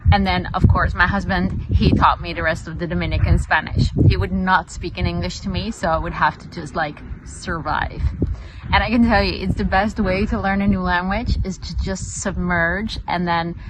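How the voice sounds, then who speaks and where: Low-voiced woman telling a story. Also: steady tone, moderate pace, very noisy. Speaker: real estate agent. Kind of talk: casual storytelling.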